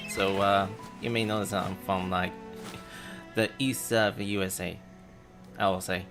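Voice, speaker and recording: A man's voice: sits low, steady tone, moderate pace. A man with a Filipino accent, rambling hesitantly over a game livestream. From Philippines. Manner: rambling, hesitant, many fillers. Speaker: streamer. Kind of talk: casual livestream chat. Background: game music.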